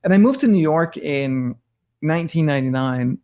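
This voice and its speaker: A man's voice: a little high, animated, moderate pace, darkish tone. A middle-aged man from Denmark, a podcast guest talking casually and rambling with many restarts. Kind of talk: casual interview talk.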